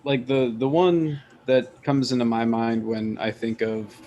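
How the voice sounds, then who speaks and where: A man's voice: mid-range voice, little pitch movement, measured pace, dark tone, muffled recording, a little hiss or hum. A man chatting casually as a panelist on a podcast. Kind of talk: casual chat.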